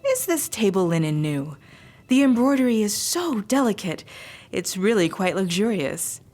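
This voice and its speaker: A woman's voice: medium pitch, very animated, moderate pace, darkish tone, faint music behind. An actress playing a sweet, genteel, sociable young noblewoman in an audio drama. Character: genteel, chatty young noblewoman doting on her dog.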